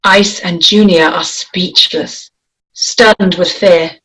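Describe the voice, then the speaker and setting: A woman reading a screenplay aloud in a measured, descriptive way, giving action lines and scene headings. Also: fairly low pitch, steady tone, a bit bright, husky, low-quality recording. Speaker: script reader. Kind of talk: screenplay table read.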